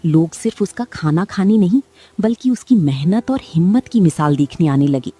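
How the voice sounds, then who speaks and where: A woman's voice: lowish voice, normal speed, breathy edge, faint music behind. Woman narrating a cartoon story. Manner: steady storytelling.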